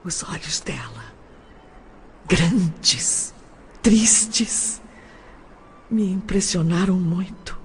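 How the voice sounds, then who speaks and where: A woman playing a sorrowful adopted orphan recalling her childhood in an emotive, deliberate audio drama monologue. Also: lowish voice, lively intonation, a little mellow, breathy edge, exaggerated voice. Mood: sorrowful reminiscence. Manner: slow, emotive, deliberate delivery. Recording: long hall-like reverb.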